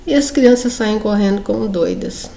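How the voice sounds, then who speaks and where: A woman's voice: mid-range voice, normal speed, gravelly, dark tone, a little hiss or hum. A woman presenting a comic book to her viewers in a casual, chatty way, often checking in with them. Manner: chatty, addresses viewers, frequent tag questions. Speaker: book reviewer. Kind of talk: casual presentation reading comic excerpts aloud.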